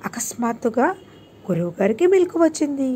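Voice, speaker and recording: A woman's voice: high-pitched, sing-song, fairly quick, dark tone, slight background noise. A woman narrating a scripted moral tale for children as an audiobook storyteller.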